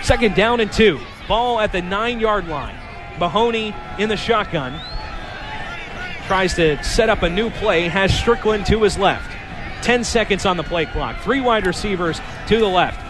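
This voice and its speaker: Casting: American male radio play-by-play announcer, high-pitched voice, calling live action. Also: animated, unhurried, a crowd in the background. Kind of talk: live sports commentary.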